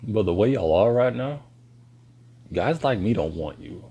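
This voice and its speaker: A man, lecturing bluntly in a halting monologue to camera. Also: lively intonation, vocal fry, dark tone, slightly rough. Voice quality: deep voice. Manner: slow and halting, pauses and restarts. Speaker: vlogger.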